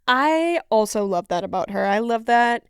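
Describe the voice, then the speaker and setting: A woman co-hosting a podcast, chatting casually in an informal, expressive way. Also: a little high, normal speed, clean tone, dry recording, clean studio sound. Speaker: podcast co-host.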